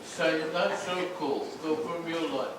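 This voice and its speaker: A man directs actors at a rehearsal in a slightly high voice. He is plain-spoken and instructive, often repeating himself. The sound is distant and noisy, with heavy room echo. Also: steady tone, unhurried. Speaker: stage director. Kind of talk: giving blocking notes at a play rehearsal. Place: echoey indoor rehearsal space, recorded from afar.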